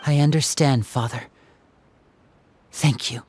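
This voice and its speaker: An actor playing an earnest, humble and devoted young man in a video game, with a soft, sincere, formal delivery. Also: medium pitch, steady tone, slow pace, close to an everyday voice. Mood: grateful. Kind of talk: dramatic video game voice acting. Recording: game music under the voice.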